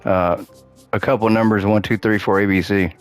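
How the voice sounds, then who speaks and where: Low-voiced man with a cracking voice. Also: fairly even intonation, moderate pace, soft background music. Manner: chatty, upbeat, thinks aloud while playing. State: losing his voice. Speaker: gaming channel host. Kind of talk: casual gameplay commentary.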